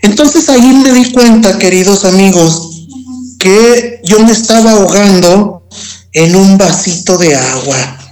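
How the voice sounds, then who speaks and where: A man's voice: high-pitched, expressive, a little slow, a little mellow, low-quality recording. A man with a Mexican accent giving a personal testimony in conversation, reflective and repeating phrases for emphasis. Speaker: guest telling a personal testimony.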